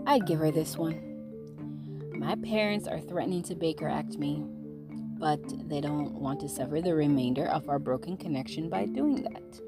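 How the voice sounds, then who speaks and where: A woman podcast host who writes fiction, chatting casually about her work and then reading her own short story aloud. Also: deep voice. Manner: casual, relaxed intro, then steady storytelling.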